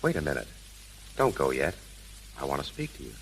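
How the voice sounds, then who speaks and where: Smooth guarded suitor, low voice, lively delivery, old 1930s radio play sound. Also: male voice, brisk, full character voice. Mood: earnest. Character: suave, guarded adult son and gentleman suitor. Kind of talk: 1930s American radio drama acting. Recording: hissy, crackling 1930s radio recording.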